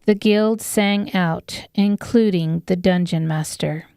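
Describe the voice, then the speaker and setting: A woman reads scripted fantasy narration for an audiobook. She speaks slowly in a clear, medium-pitched voice, with a very dry sound. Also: mellow, dry recording.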